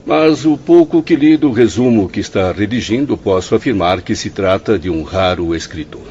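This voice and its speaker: An actor playing a grave, learned professor in an audio drama, formal, deliberate and a little pedantic. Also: male voice, fairly low pitch, animated, a little mellow, natural voice. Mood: admiring. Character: Belarmino, grave, learned male professor spirit. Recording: dull, noisy audio with ambience.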